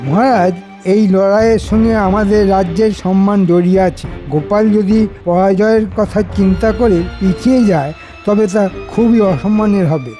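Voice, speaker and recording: A man's voice: high-pitched, moderate pace, warm tone, soft background music, natural voice. A man voicing a sly, scheming royal minister in a cartoon, persuasive and insinuating. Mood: feigned innocence. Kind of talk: Bengali cartoon dialogue.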